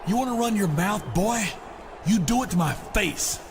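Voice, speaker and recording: A blustering wrestling heavy in a game cutscene, smarmy and overfriendly one moment, snarling insults the next. His voice sits high for a big man, and a steady hiss runs under the game audio. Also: normal speed. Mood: threatening. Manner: loud, boastful, turns to insults. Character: blustering male wrestling champion, fake-friendly bully. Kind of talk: video game cutscene acting.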